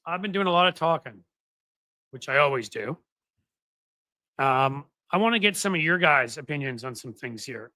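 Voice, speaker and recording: A man with a slightly high, bright, forceful voice hosts a casual livestream. He rambles, restarts his sentences and corrects himself as he goes, then presses his points with earnest rhetorical questions. The sound is close and dry, with no room echo. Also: lively intonation, moderate pace, pauses often. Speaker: livestream show host. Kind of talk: casual livestream talk to viewers.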